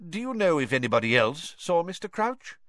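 A wise old headmaster speaks briefly and calmly, in measured tones, as a male narrator plays him. The storybook reading is dry and close. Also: fairly high pitch, no room sound, pitched above the performer's own voice.

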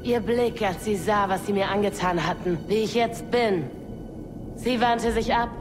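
A woman playing a menacing, bitter female dark-side inquisitor in an audio drama, with a taunting, deliberate delivery. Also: medium pitch, noisy background, natural voice.

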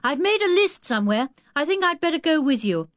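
Woman voicing a cheerful, animated British teenage boy. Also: high voice, a little fast, no echo, clean studio sound, voice pushed up. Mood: eager. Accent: British English. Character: cheerful, polite, confident teenage boy leader. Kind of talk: children's audiobook character acting.

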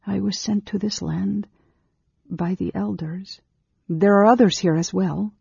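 A woman voicing an earnest, nervous woman of a long-lived secretive race in a storybook, speaking haltingly and with emotion. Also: mid-range voice, steady tone, vocal fry, mellow, slightly rough, no room sound, dull audio, voice pushed up, full character voice.

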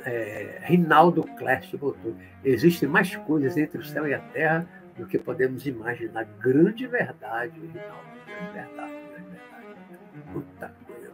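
Animated man teaching. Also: a little high. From Brazil, born in Olinda, Pernambuco. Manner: explanatory, tag questions, reads comments aloud then answers. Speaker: spiritist teacher answering viewer comments. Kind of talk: live-stream talk reading and answering comments.

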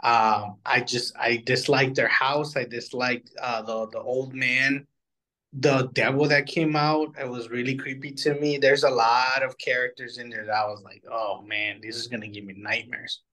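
A man's voice: mid-range voice, fairly even intonation, moderate pace, pushed and bright, poor audio. A man co-hosting a podcast, giving his reactions in loose, casual conversation. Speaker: podcast co-host.

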